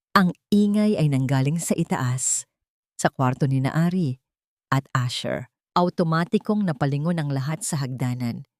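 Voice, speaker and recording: Low-voiced woman narrating a drama. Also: darkish tone, no echo, clean studio sound.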